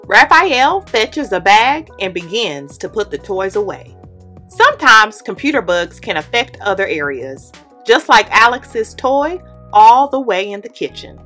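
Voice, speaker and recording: A young woman, host of the channel, reading a children's picture book aloud in an expressive, animated way. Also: mid-range voice, a little slow, faint music behind. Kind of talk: read-aloud storytelling. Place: indoor studio with plain orange backdrop.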